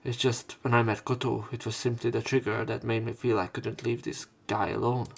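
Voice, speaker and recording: A man's voice: medium pitch, little pitch movement, a little fast, gravelly, husky, faint music behind. A man narrating a visual novel as a let's play, reading the story text aloud.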